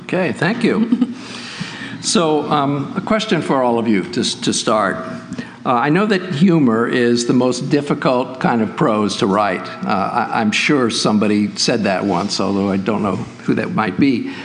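A man's voice: mid-range voice, expressive, a little slow, a little hiss or hum. Hesitant man moderating a panel. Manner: hesitant, many uh fillers and restarts. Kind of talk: moderating a book festival panel.

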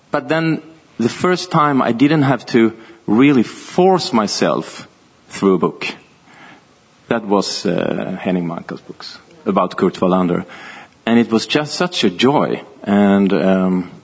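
A man's voice: medium pitch, breathy edge. A man, an author, talking casually on a panel, often stopping to restart his sentences and breaking into laughter. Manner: chatty, halting, laughs often. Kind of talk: panel conversation.

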